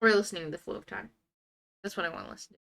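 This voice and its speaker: A woman's voice: on the low side, big pitch swings, rapid-fire, creaky, fairly bright tone, a little hiss or hum. A young woman with a congested nose chatting casually on a game stream, drifting into muttered asides to herself. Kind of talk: casual live stream chat while playing a game.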